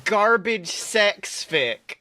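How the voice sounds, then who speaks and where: A man's voice: high voice, sing-song, drawn-out words, bright, forceful tone. A young man co-hosting a podcast, chatting casually and swearing freely, with frequent laughs. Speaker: podcast co-host. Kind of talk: casual chat and reading fan fiction aloud.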